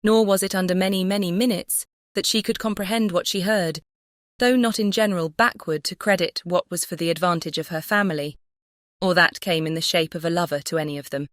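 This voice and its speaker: A woman's voice: lowish voice, steady tone, a little fast, no room sound, clean studio sound. A woman reading a classic novel as scripted audiobook narration.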